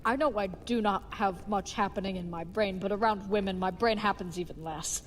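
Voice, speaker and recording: A woman's voice: mid-range voice, moderate pace, in a large hall, slight background noise, lightly acted. A woman playing an earnest, dim-witted young knight who speaks in formal, chivalrous phrases in an audio drama. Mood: earnest, fond. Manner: formal, stilted, uncontracted, chivalrous speech.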